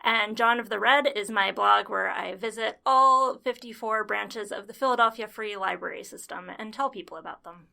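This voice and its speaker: A woman guesting on a podcast, explaining her projects in casual chat with a measured, amused manner. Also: mid-range voice, vocal fry, pushed and bright.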